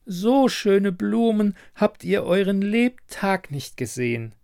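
A man voicing a croaky old beggar woman, a cunning herb witch in a fairy tale, insistent and using archaic courtly address. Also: high-pitched, very animated, slow, a little mellow, voice pushed up, full character voice. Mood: insistent, coaxing.

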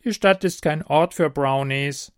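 A man's voice: fairly high pitch, a little slow, clear, resonant tone, dry recording, clean studio sound, pitched above the performer's own voice, close to an everyday voice. A man voicing a small, grumpy green male house goblin who talks in a scornful, snappish way. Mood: grumbling.

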